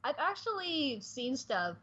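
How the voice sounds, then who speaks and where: A woman in her early twenties chatting casually as a guest on a livestream, with a husky voice. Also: high-pitched, sing-song, measured pace, sharp tone. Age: early 20s. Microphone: online voice call. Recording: dull lo-fi voice-chat audio.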